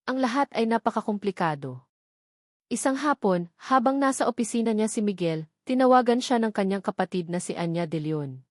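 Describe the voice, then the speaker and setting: A woman's voice: medium pitch, animated, normal speed, a little mellow, no echo, clean studio sound. A woman reading a scripted romantic drama as a story narrator. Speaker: narrator of an audio love story.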